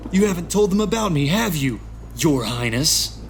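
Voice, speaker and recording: A man's voice: fairly high pitch, animated, gravelly, faint music behind. A man playing a smug, taunting former royal guard turned traitor in a game fan dub, drawling out mocking teases. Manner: mocking, drawn-out teasing delivery. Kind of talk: visual novel fan dub acting.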